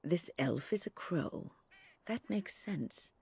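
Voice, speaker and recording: An actress playing a composed, serious female companion in a fantasy video game, husky and matter-of-fact. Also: on the low side, expressive, slow, warm tone, dry recording, heavily acted. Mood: grave, informative.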